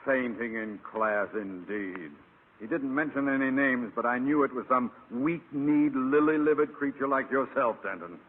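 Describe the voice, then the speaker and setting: A man's voice: medium pitch, normal speed, slight background noise, natural voice. A man playing a blustery, pompous middle-aged school principal, full of scorn, in a vintage radio sitcom. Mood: scornful. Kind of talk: 1940s-50s radio sitcom acting. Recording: tinny, reverberant vintage radio recording.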